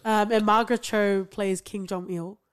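A woman's voice: mid-range voice, fairly even intonation, normal speed, clean tone. A young woman co-hosting a podcast, chatting casually about a TV show, laughing often and using lots of fillers. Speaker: podcast co-host.

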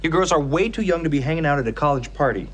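Dry-witted sitcom dad. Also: male voice, fairly high pitch, expressive, fast, a bit bright. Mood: firm. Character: dry-witted, protective, nerdy single dad, a professor.

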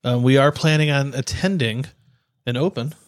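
A man's voice: mid-range voice, normal speed, no room sound, studio quality. A man co-hosting a podcast with playful, joking casual banter. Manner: jokey, playful. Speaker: podcast co-host.

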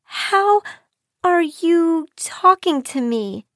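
A woman voicing a curious, excitable young girl who exclaims and asks eager questions in a children's story. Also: very high-pitched, extremely slow, dry recording, clean studio sound, voice pushed up, heavily acted. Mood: astonished.

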